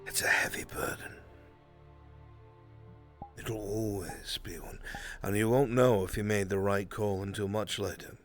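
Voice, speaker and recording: A man playing a grave, fatherly older military commander in a fantasy roleplay, speaking in a measured, solemn, counselling way. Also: lowish voice, steady tone, lightly acted. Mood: solemn, consoling. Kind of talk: live fantasy roleplay, improvised dramatic acting. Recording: music behind the voice.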